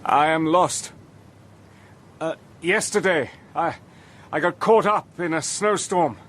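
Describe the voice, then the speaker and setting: A man playing a feverish British agent hiding out in wartime Germany, speaking haltingly and courteously between coughs. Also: fairly high pitch, fairly bright tone. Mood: weak, wary. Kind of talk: radio drama acting.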